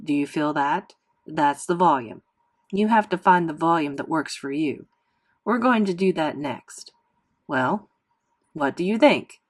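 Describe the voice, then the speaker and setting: Lady doing a friendly doctor guy from a storybook, chummy and reassuring, a bit lower. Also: animated, unhurried. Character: friendly male ear doctor, reassuring, jovial.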